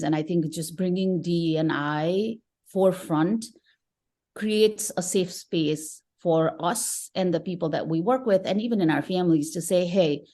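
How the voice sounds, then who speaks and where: Low-voiced Nepali-born woman guest. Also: dark tone. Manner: earnest, thoughtful, with frequent restarts. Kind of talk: conversational interview.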